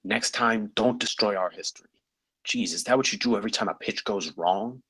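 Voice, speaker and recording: Gruff, very low husky actor as weary designer. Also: male voice, brisk, a bit bright, dry recording. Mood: exasperated. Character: gruff, wry, exasperated male theme-park designer, loyal colleague. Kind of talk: live screenplay table read.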